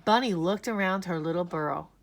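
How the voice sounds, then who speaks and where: A woman narrating a children's story aloud with expressive, dramatic emphasis. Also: fairly low pitch. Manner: slow, animated, dramatic emphasis.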